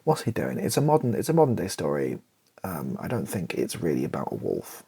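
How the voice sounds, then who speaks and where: A British man with a very low, crackly voice that swings in pitch as he talks. He thinks aloud in a halting, self-deprecating ramble while drafting writing for ASMR. Keyboard clicks and faint noise sit behind him. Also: wildly swooping pitch, vocal fry, warm tone, slightly rough. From Britain. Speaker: YouTuber, ASMR video creator. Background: computer keyboard typing and clicks.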